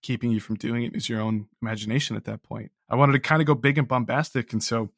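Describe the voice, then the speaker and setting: A man with a mid-pitched voice talks as an interview guest in a close, dry recording. He is reflective and casual, earnest and a little self-deprecating, often restarting his phrases. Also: fairly even intonation, fast, vocal fry, no echo. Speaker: interview guest, audio drama writer.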